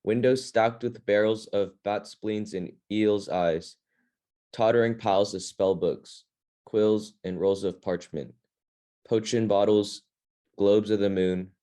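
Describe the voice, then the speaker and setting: A man's voice: on the low side, steady tone, takes it slowly, warm tone. A man leading a reading club, reading a children's fantasy novel aloud in a plain, informal way.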